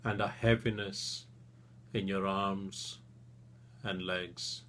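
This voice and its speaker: A man leading a guided relaxation session as a therapist, speaking soothingly and gently in short phrases with long pauses. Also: low voice, steady tone, a little hiss or hum.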